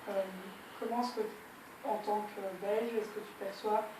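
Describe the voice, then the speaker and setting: A woman's voice: medium pitch, level tone, normal speed. A young woman interviewing for a university course, asking questions hesitantly with many fillers. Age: young adult. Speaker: student interviewer. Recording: echoey room, voice far from mic, noisy.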